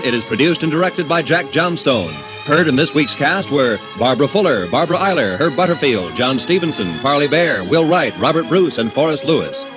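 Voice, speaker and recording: A man's voice: a little high, lo-fi sound. Brief: a formal 1950s male radio announcer reading credits over music. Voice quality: polished, formal. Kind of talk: scripted announcing.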